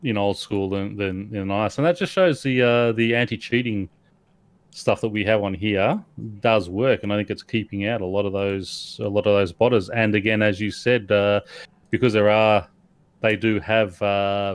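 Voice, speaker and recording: A man with a fairly low voice co-hosting a podcast. He rambles through his points, filling gaps with "you know" and "uh". The sound is dry and close. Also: smooth, no room sound. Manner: rambling, many fillers and restarts, agreeable. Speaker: podcast co-host. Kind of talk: casual podcast chat.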